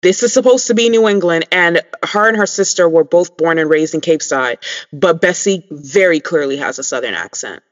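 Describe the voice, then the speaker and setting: Man, casual podcast co-host, chatty, lots of ums, slightly higher voice, dull lo-fi sound. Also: animated, normal speed. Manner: chatty, many ums, addresses listeners. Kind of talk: casual chat.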